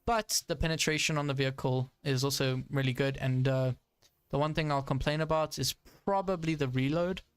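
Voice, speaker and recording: A man's voice: mid-range voice, steady tone, a little fast, clear, resonant tone, no room sound. A young man giving casual live commentary while playing a tank game, chatty with frequent fillers and frustrated asides. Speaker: gaming streamer.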